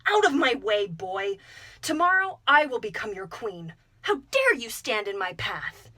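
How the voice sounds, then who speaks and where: A woman voicing a greedy, haughty young woman who talks down to others in a sharp, commanding tone. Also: fairly high pitch, big pitch swings, a little slow, heavily acted. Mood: scornful.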